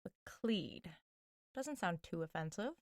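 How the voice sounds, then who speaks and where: A woman's voice: fairly low pitch, lively intonation, a little slow, dry recording, studio quality. A woman playing a skeptical, curious young woman in an audio drama, questioning in a casual, dryly incredulous way. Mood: puzzled, probing.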